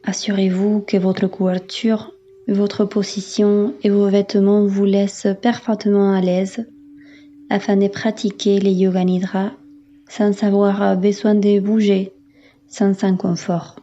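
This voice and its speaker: A woman yoga instructor leading a guided relaxation in a calm, soothing, unhurried manner with long pauses. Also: mid-range voice, muffled recording. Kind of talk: guided meditation, yoga nidra.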